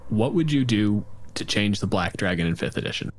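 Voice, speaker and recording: A man's voice: on the low side, expressive, fairly quick, a little hiss or hum. A man in a casual podcast chat about tabletop games, wry and joking.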